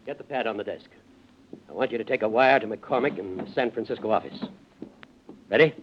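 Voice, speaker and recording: An actor playing a curt, commanding, scheming rich patriarch in a 1940s radio drama. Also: male voice, on the low side, fairly quick, a little hiss or hum, close to an everyday voice. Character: domineering, scheming rich father and business tycoon. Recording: muffled vintage radio recording.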